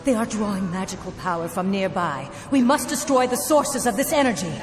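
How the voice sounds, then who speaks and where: An actress playing a proud, stern high elf mage in a fantasy video game, speaking in solemn, grandiose declarations. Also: female voice, expressive, fairly quick, lightly acted. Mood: solemn, resolute. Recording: loud music behind the voice.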